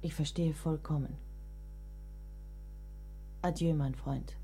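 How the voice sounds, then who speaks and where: An actress playing a proud, resigned middle-aged woman writer of the 19th century in a German radio play, measured and restrained. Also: deep voice, steady tone, dark tone, slight background noise. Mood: resigned farewell. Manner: measured, literary, restrained delivery.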